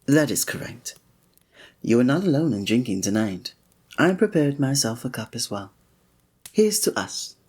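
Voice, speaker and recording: A man playing a flirty, breathy innkeeper. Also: mid-range voice, very animated, moderate pace, pauses often, a little mellow. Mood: amused. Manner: formal old-fashioned wording with chuckling asides. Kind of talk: ASMR roleplay.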